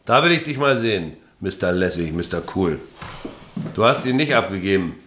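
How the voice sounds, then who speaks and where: An actor playing a gruff, embittered, greying ex-army father in a radio drama, sarcastic and grumbling. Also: sits low, sing-song, normal speed, a bit bright, slight background noise. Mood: accusing. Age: middle-aged. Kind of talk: German radio drama acting.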